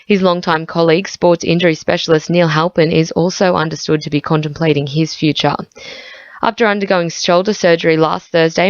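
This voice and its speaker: Australian woman reading sport, lower-pitched voice. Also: dry recording, dull audio. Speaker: radio sports news reader. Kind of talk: scripted sports bulletin.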